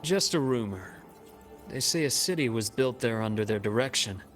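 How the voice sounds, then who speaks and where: A man voicing a composed, analytical investigator in a game, speaking in a measured, explanatory way. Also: mid-range voice, animated, a bit bright, soft background music, natural voice. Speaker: game character. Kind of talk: game cutscene voice acting.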